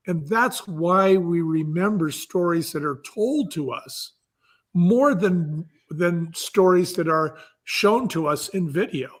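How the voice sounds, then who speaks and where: A man talking conversationally as an interview guest, explaining things emphatically like a storyteller and repeating key phrases. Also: high-pitched. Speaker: audiobook producer and guest.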